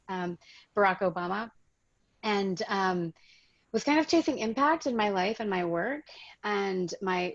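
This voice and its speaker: A woman from the United States pitches her startup over a video call, speaking conversationally and pausing on ums. Her voice is heavily gravelly and fairly low. The call audio is dull and dry. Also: no echo.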